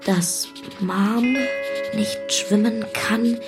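Radio play narration: musing young boy, unhurried, confiding, music behind. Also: mid-range voice, steady tone. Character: young boy narrating his own diary-like thoughts.

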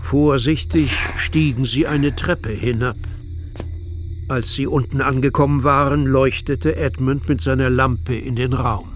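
A man's voice: mid-range voice, fairly even intonation, a little slow. A man narrating a children's audio drama as a scripted storyteller. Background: music.

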